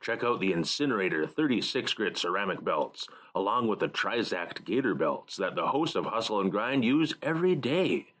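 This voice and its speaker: A man's voice: lowish voice, big pitch swings, normal speed, hardly any pauses, a bit bright. Man reading a sponsor ad. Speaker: voiceover announcer. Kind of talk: scripted sponsor read.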